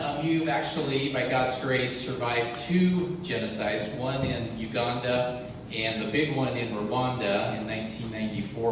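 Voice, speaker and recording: A man introducing a guest speaker in a church with a measured, earnest manner. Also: medium pitch. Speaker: ministry partner introducing guest. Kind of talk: church interview. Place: church sanctuary. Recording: echoey hall from far off with noise.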